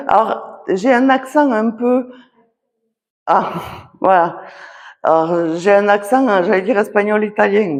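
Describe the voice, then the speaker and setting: Woman, magnetiser at a public talk; unhurried and halting, checks in often, recorded in a reverberant hall. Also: medium pitch, a little mellow. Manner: halting, punctuates phrases with "voilà" and "d'accord". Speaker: magnetiser giving readings. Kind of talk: spoken readings at a public talk. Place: association conference hall.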